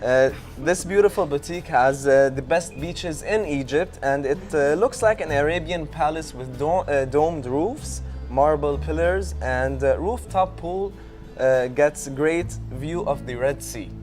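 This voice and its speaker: A man's voice: fairly high pitch, measured pace, darkish tone, faint music behind. A man co-hosting a studio talk show, presenting a list with many fillers and restarts, laughing easily. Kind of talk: casual studio chat presenting a list segment.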